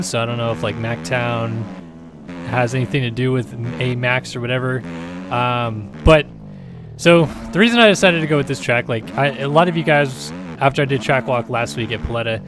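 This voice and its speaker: A man hosting a gaming channel, talking through gameplay in a casual, rambling way, his voice raspy and tired. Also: mid-range voice, moderate pace, over music. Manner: rambling, filler-heavy, easygoing.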